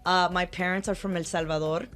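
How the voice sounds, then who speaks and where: Chatty woman attorney with a lowish voice. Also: steady tone, a little fast, fairly bright tone, faint music behind. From Los Angeles, California. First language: Spanish. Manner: chatty, frequent "uh" and "um" fillers, self-correcting. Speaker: personal injury attorney, guest. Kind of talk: casual interview answers.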